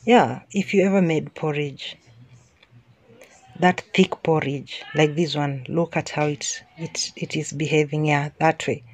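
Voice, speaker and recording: A woman narrates her home cooking in a lowish, relaxed voice, taking her time over each step. She often restarts or trails into an um. The recording is close and dry. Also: no room sound. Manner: unhurried, with frequent restarts and ums. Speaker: home cooking channel host.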